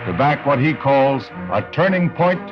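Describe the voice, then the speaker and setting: A man's voice: mid-range voice, expressive, unhurried. An American man reading scripted 1960s newsreel narration in a formal, declamatory manner. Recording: dull 1960s newsreel soundtrack with music. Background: music.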